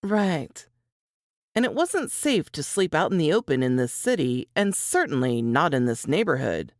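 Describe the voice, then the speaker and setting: A woman's voice: low-pitched, very animated, moderate pace, no room sound, studio quality. A woman narrator reading a novel in a scripted storytelling style.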